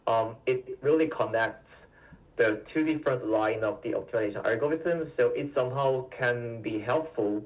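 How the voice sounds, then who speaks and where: Soft-spoken man from South Korea, halting. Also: mid-range voice, far from the mic, low-quality recording. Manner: halting, many fillers and restarts. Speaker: guest, PhD student. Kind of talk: casual interview answers.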